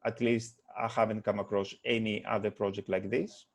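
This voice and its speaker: A man introducing an online book launch, halting and full of fillers. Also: lowish voice, flat delivery, creaky. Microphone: video call.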